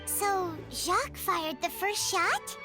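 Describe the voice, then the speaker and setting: A woman voicing a small, childlike, curious companion sprite in a video game, squeaky, inquisitive and expressive. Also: slow pace, exaggerated voice. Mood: curious, pondering. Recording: loud music behind the voice.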